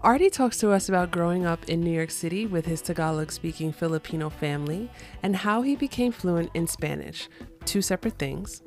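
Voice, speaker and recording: A woman's voice: on the low side, expressive, brisk, darkish tone, faint music behind. A woman hosting a podcast interview, upbeat and welcoming as she introduces and questions her guest. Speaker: podcast host.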